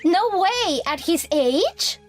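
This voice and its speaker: A woman acting a high-voiced, catty young woman. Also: sing-song, slow, faint music behind, exaggerated voice. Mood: mocking disbelief. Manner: scornful, exclaiming. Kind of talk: anime-style story dub acting.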